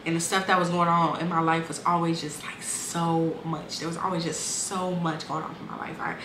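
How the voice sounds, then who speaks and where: A woman's voice: fairly low pitch, flat delivery, moderate pace, echoey room, noisy background. A woman in her twenties casually and candidly telling her life story, with lots of "um" and "like". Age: 20s. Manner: candid, conversational, frequent fillers and restarts.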